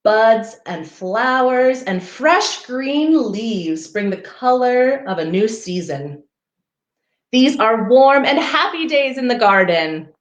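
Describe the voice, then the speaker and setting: Lady reading a kids picture book out loud, takes her time, really up and down voice, chats to the little ones, bit echoey. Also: fairly high pitch, slow pace. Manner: expressive, addressing young listeners. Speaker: storybook reader for a parks program. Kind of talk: children's picture book read-aloud with chatty asides. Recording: roomy, echoing sound.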